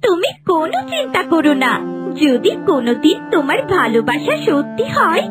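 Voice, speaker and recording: A woman's voice: very high voice, big pitch swings, moderate pace. A woman voicing a kind, reassuring little talking bird in a fairy-tale cartoon. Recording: loud music over the voice.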